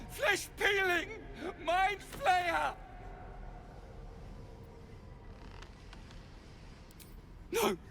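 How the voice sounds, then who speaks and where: An actress playing a terrified, frantic captive who wails and pleads in a dramatic video game scene. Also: female voice, extremely high pitch, fairly bright tone, exaggerated voice. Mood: shrieking terror. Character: terrified captive tormented by visions of doom.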